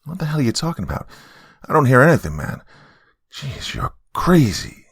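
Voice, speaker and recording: A man's voice: rumbling low, animated, moderate pace, gravelly, breathy edge, no echo, studio quality, full character voice. A man playing a desperate, hot-tempered father who pleads and bullies, in a dramatic audio story. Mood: angry.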